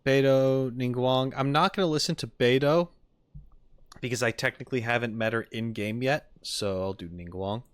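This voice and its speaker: A man's voice: mid-range voice, normal speed, clear, resonant tone, no echo. A man streaming casually and chatting with his viewers, with playful asides as he reacts to game content. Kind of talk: casual livestream chat.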